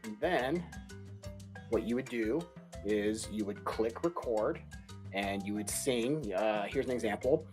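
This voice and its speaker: A man's voice: lowish voice, lively intonation, slow. A man teaching a remote music lesson to young children, upbeat and encouraging, singing short demo notes.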